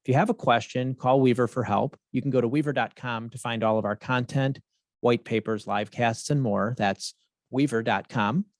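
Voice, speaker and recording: A man hosts a podcast in a measured, steady way, with a voice of ordinary middle pitch. The sound is dry and close, with no room echo. He reads intros and puts questions to a guest at an even pace. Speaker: podcast host. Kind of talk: podcast hosting.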